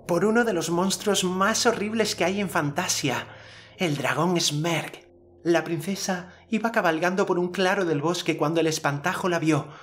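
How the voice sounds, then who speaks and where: A man's voice: sits high, moderate pace, a bit bright, a little hiss or hum, pitched above the performer's own voice. An adult man voicing a young boy hero in an audiobook, speaking in a solemn, formal old-fashioned way. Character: earnest boy hero, commanding storyteller.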